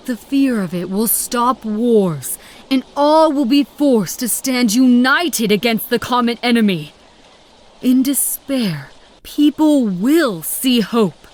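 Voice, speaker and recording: An actress voicing a determined, zealous female agent of a secret order in a video game, solemn and poised. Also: a little high. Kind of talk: dramatic video game dialogue.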